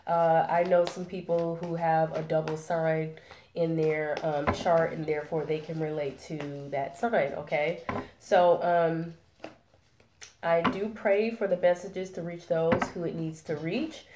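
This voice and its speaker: A woman's voice: low-pitched, fairly even intonation, unhurried, echoey room, background noise. A woman with a head cold, sounding nasal, giving a chatty tarot reading full of fillers and check-ins. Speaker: tarot reader. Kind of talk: casual spoken tarot reading.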